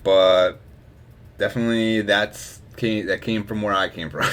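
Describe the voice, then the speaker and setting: A man's voice: on the low side, fairly even intonation, unhurried, clear, resonant tone. A young man from Wisconsin, chatting casually on a live stream, rambling and laughing as his volume rises and drops. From Wisconsin, USA. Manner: rambling, laughs often, swings from loud to mumbled.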